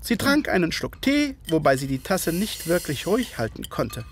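Radio drama narrator: male Victorian doctor, observant and literary, with animated intonation. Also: on the high side, a little hiss or hum. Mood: observant, measured. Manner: measured, literary storytelling. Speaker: narrator and voice actor. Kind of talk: scripted first-person story narration.

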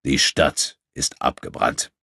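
A man's voice: takes it slowly, bright, forceful tone, dry recording. A man voicing a sardonic, sceptical warrior in an audiobook, with a dry and reproachful manner.

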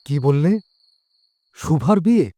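A man's voice: fairly high pitch, animated, takes it slowly, warm tone. A man playing a worried, simple village father in an audio drama. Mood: startled, pensive. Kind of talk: Bengali audio drama acting.

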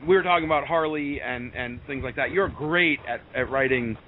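A man's voice: mid-range voice, a little fast. A young man hosting a casual interview, eager and agreeable. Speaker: podcast host, interviewer. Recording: noisy, narrow phone-like audio.

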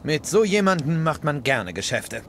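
An actor playing a businesslike scavenger trader in a video game. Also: male voice, fairly high pitch, sing-song, normal speed, a bit bright, slight background noise. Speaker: merchant character.

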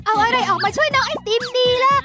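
A woman's voice: very high-pitched, quick pace. A woman playing a sensible, fussy schoolgirl in a cartoon dub. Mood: flustered. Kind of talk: Thai cartoon dubbing. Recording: loud music behind.

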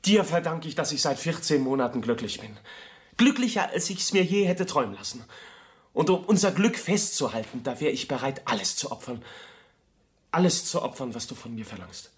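Actor as an eager, earnest young architect. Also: male voice, mid-range voice, lively intonation, fairly quick, natural voice. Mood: earnest, pleading. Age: young adult. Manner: earnest, insistent, repeats himself. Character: eager, devoted young architect, idealistic and trusting. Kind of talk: German radio play acting.

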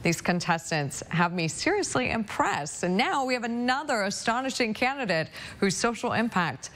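A woman's voice: fairly high pitch, sing-song, moderate pace, a bit bright. A woman with an American accent reading scripted broadcast narration as the host of a pageant show. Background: music.

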